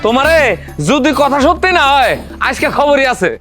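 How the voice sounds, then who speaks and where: A man's voice: extremely high pitch, moderate pace, over music. A man playing a stern young village husband. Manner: scolding, stern. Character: doubtful young village husband, quick to scold. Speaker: husband, son.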